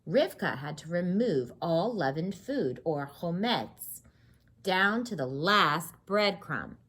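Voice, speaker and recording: A woman with a husky voice reading a children's story aloud expressively, pausing to explain and ask questions. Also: lowish voice. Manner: expressive, explains and asks questions to young listeners. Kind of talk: animated read-aloud of a children's story with asides.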